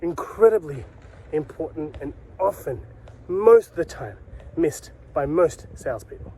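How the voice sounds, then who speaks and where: A man's voice: fairly high pitch, lively intonation, a little slow, warm tone, breathy edge, poor audio. A man giving an instructional talk to camera as a sales coach, speaking emphatically with repeated key phrases and rhetorical questions. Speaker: sales coach and video host.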